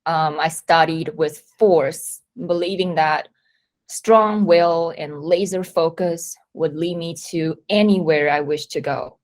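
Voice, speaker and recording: A woman in her thirties, originally from Taiwan, giving a measured, reflective presentation at a company event. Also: low-pitched, steady tone. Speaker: company talk speaker. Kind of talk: prepared presentation talk.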